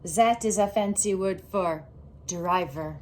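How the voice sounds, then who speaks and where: A woman reading a children's picture book aloud in an expressive, deliberate way with theatrical emphasis. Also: on the low side, slight background noise.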